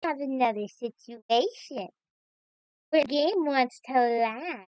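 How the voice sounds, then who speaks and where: A woman's voice: sits high, expressive, slow pace, a little mellow, clear, resonant tone, dry recording, rough recording. A woman hosting a game livestream, reading aloud and reacting as she plays. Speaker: livestream host.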